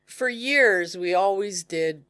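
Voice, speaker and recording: A woman's voice: medium pitch, slow. A middle-aged woman, a podcast guest chatting frankly and easily with plenty of fillers. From Canada. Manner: frank, relaxed storytelling with many fillers. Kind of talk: casual podcast chat.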